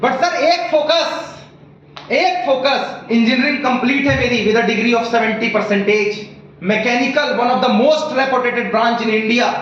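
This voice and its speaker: High-pitched man in an echoey hall. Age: 20s. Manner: animated storytelling, acting out voices and frog croaks; mixes English phrases into Hindi. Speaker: motivational speaker. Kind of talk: motivational stage talk to an audience. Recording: echoey hall, muffled, light noise.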